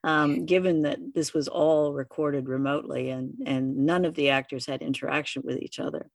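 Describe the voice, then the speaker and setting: A woman with a notably low-pitched voice hosts an interview podcast. She speaks in a measured way and encourages her guest as she puts questions to them. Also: fairly even intonation, gravelly. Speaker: podcast host and interviewer, writer-producer. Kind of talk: interview hosting.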